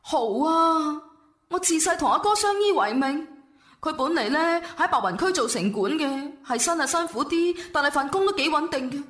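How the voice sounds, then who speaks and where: Woman playing a very high-pitched worried Cantonese girlfriend. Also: animated, moderate pace, a bit bright, echoey room. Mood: caring, torn. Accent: Cantonese, Guangdong. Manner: urgent, emotive, scolding yet caring. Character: worried, devoted young gangster's sister, dramatic girlfriend. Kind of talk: internet radio drama acting.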